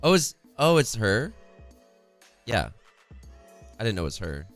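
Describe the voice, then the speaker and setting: A young man with a worn-out, hoarse voice casting an esports match on a home stream, chatty and reading chat aloud, close to a studio mic. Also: fairly low pitch, very animated, unhurried, fairly bright tone, soft background music. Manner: chatty, reads chat aloud, laughs often. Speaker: esports caster. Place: home streaming room, indoors. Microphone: studio condenser mic close in front, headphones.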